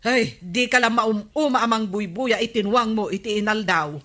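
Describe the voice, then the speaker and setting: A woman with a Filipino accent playing a village captain's affectionate but nagging wife in a radio comedy drama. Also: fairly high pitch. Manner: drawn-out, expressive.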